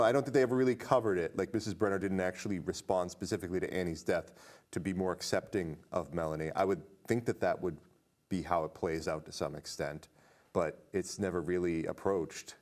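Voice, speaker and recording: Man, podcast host, somewhat low voice, conversational, rambling film discussion. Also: darkish tone.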